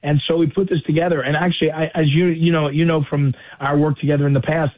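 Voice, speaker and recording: A man with a voice going hoarse, a radio guest talking casually, repeating and correcting himself. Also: on the high side, level tone, fast, no room sound. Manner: chatty, self-correcting, repeats words. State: losing his voice. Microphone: phone or call-in line. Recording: tinny phone line.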